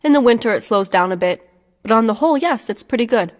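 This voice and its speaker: A woman reading scripted practice dialogue for English learners in a slow, clear, even manner. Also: a little high, big-room sound. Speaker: dialogue reader in English lessons.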